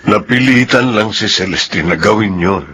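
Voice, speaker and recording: An actor with a Filipino accent playing a calm, reassuring family friend in a radio drama, reasoning as he talks. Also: lowish voice, moderate pace, slight background noise. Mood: calm reassurance.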